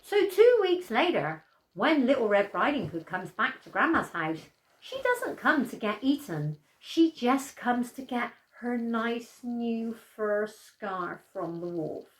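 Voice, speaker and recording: An older woman telling a bedtime story in an animated storyteller's style. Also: a little high, slow, roomy sound, poor audio.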